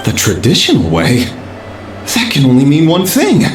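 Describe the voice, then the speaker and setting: An actor playing an earnest, excitable male hotel chef in a video game. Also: medium pitch, moderate pace. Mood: eager explaining. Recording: echoey room, faint noise.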